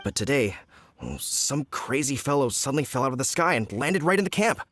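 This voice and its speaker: A man playing a flustered, indignant village trader in a video game, complaining in an agitated, hurried way. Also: medium pitch, sing-song, a bit bright. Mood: relieved, rattled.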